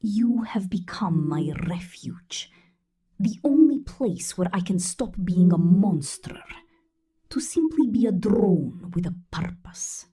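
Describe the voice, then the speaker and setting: A woman's voice: fairly low pitch, mellow, full character voice. A woman voicing a possessive, menacing female robot drone in a whispery, intimate ASMR roleplay. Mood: possessive, tender menace. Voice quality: breathy, whispery.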